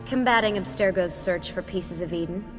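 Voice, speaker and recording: A woman voicing a brisk, matter-of-fact leader of a covert team in a video game. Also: lowish voice, lightly acted. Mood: businesslike. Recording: clear music bed underneath.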